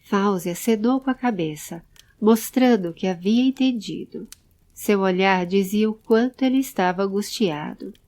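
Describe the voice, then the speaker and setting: A woman's voice: mid-range voice, moderate pace, darkish tone. A woman narrating a scripted audio drama. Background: background music.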